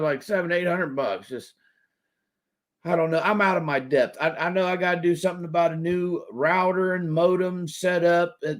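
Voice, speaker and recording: A man hosting a casual commentary stream, rambling with restarts and mocking remarks. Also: fairly high pitch.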